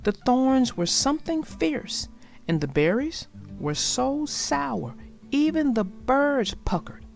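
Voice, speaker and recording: Slow, animated woman storyteller. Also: sits high, vocal fry, faint music behind. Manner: deliberate, dramatic phrasing, addresses listeners directly. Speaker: read-aloud storyteller. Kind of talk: expressive children's story narration.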